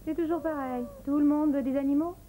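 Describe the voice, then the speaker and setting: An actress voicing a kind but harried, flustered mother in a French animated series, polite yet exasperated. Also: sits high, a little fast, slight background noise, close to an everyday voice, rough recording. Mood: grumbling.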